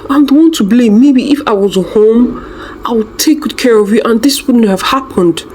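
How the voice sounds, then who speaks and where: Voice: mid-range voice, normal speed, darkish tone, a little hiss or hum, voice pushed up, natural voice. A storyteller voicing a protective, concerned young husband in a folktale, repeating words urgently. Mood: grieving.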